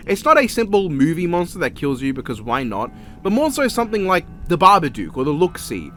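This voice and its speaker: A man's voice: on the high side, fairly bright tone. An Australian man giving a casual, opinionated and sweary video-essay review as a voice-over.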